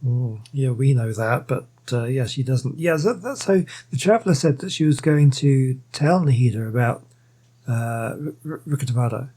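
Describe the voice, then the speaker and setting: A man's voice: mid-range voice, lively intonation, moderate pace, mellow, slightly rough. A man commentating on a video game as he plays, thinking aloud and reading dialogue choices out. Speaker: game streamer. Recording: game music behind the voice.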